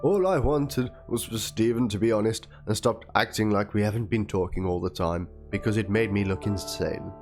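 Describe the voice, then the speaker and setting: Low-pitched man doing a dramatic reading over music. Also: moderate pace, few pauses, darkish tone. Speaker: narrator reading aloud. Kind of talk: dramatic reading of a written chat log and document. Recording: music under the voice. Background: instrumental background music.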